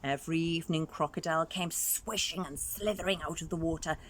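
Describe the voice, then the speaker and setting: A woman's voice: low-pitched, fairly quick, a little hiss or hum. A woman reading a story aloud to young children expressively, adding questioning asides for the listeners.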